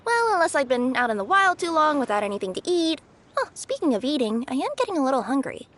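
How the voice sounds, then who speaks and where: A woman's voice: high voice, sing-song, quick pace, a bit bright. An actress voicing a friendly, bubbly young female cat spirit in a video game, chatty, earnest and eager to reassure. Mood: eager, reassuring. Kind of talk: scripted game dialogue.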